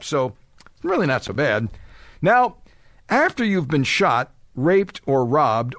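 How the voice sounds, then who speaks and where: A man with a slightly high voice and a very animated, swooping delivery, recorded close and dry. He reads aloud as a talk radio host, leaning on words with sardonic emphasis and adding his own asides. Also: dry recording. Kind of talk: reading a letter aloud with asides.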